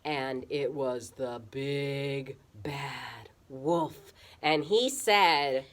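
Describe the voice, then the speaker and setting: A woman telling a children's puppet-show story in a dramatic, expressive way that can drop into a hush. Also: extremely low pitch, drawn-out words. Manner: dramatic, expressive, drops into hushed whispers.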